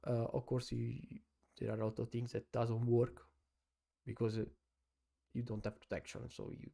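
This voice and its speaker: Italian-accented man, gravelly voice, dark mellow tone, halting live-stream commentary, lots of uh. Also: medium pitch, monotone. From Italy. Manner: halting non-native English with many "uh"s, chatting with viewers. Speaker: taekwondo analyst streaming live.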